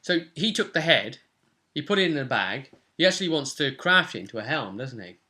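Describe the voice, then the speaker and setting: Bright-voiced young man recapping a game. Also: mid-range voice, very animated, brisk. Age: young adult. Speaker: host and game master. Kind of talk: casual recap of a tabletop role-playing session. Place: small room at home, indoors.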